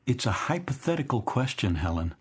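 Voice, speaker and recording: An actor playing a weary, wistful middle-aged American office executive in a radio drama, with a hesitant, musing manner. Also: male voice, mid-range voice, very animated, fairly quick, a little hiss or hum, lightly acted. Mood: weary, distracted. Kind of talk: modern radio drama acting.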